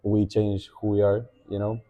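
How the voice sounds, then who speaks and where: A young man with an Argentine Spanish accent, Spanish as his first language, talking as a podcast guest in a thoughtful way, pausing to think and often restarting his sentences. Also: on the low side, completely flat delivery, mellow. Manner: reflective, thinking aloud with many fillers and restarts. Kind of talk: casual podcast conversation.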